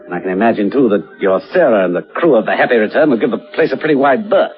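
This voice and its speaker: An actor playing a sober, uneasy British gentleman telling a sea horror tale on radio, with measured, deliberate phrasing. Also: lowish voice, animated, lightly acted. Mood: reasoning, concerned. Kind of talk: dramatised first-person radio narration and dialogue. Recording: dull radio recording, faint music.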